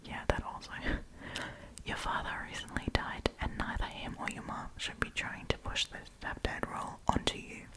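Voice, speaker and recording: A woman telling stories in a breathy ASMR whisper, with chatty, playful, slangy commentary. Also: normal speed. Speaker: ASMR storytime host.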